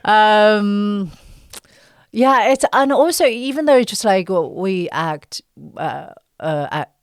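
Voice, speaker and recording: A woman's voice: mid-range voice, lively intonation, slow, smooth, no echo, studio quality. A woman chatting casually as a guest on a podcast, a voice actress who searches for her words and giggles easily. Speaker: guest, voice actress in an audio drama series. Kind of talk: casual podcast interview chat.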